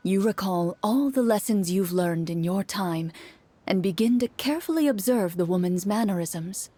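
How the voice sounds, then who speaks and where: An actress playing a composed game master who narrates a tabletop adventure in a measured storytelling way. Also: female voice, mid-range voice, animated, mellow, slight background noise, lightly acted. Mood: measured, evocative. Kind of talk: scripted narration.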